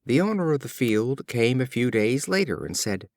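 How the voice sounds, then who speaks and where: A man narrating short fables as an audiobook reader, in a measured, expressive storytelling style. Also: medium pitch, dry recording, studio quality.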